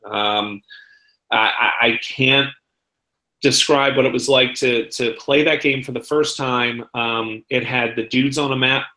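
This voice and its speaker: A man's voice: medium pitch, fairly even intonation, measured pace, sharp tone. A man chatting casually and enthusiastically about board games, speaking with emphasis as a guest on a talk show. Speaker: podcast guest presenting a top ten list. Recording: roomy echo on the voice.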